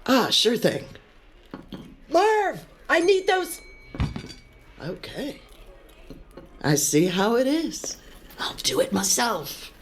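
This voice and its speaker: A woman's voice: fairly high pitch, big pitch swings, slow, long pauses. A woman playing a chatty café server on a starship in an audio drama, friendly and calling out orders. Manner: friendly, calls out orders loudly.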